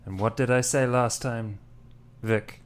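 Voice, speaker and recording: A man playing a tabletop roleplaying game, speaking in character in a deliberate way. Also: mid-range voice, fairly even intonation, clean tone.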